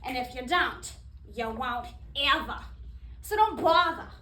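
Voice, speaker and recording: A woman performing a sassy, devout diner waitress in a theatrical monologue, preaching with emphatic, dramatic pauses. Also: high-pitched, lively intonation, sharp tone, full character voice. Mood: fervent, emphatic. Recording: echoey room, distant mic, background noise.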